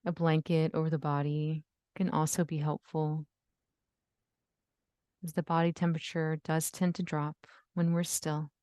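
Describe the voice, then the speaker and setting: A woman leading a guided yoga nidra relaxation, giving gentle, soothing instructions with long pauses. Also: deep voice, little pitch movement, clear, resonant tone, no echo, clean studio sound. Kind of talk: guided meditation.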